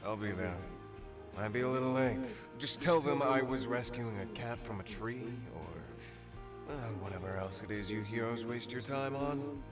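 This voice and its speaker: A man's voice: medium pitch, a little slow, natural voice. An actor playing a jaded, sardonic young male superhero in a visual novel, with a dry, grumbling, sarcastic delivery. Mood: grudging. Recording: music playing loudly behind the voice.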